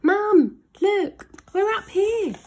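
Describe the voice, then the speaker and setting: A woman voicing an excitable young girl in a storybook, exclaiming dramatically. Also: very high-pitched, extremely slow, pitched above the performer's own voice, exaggerated voice. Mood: excited. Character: excitable young girl, Ned's bossy but softening sister.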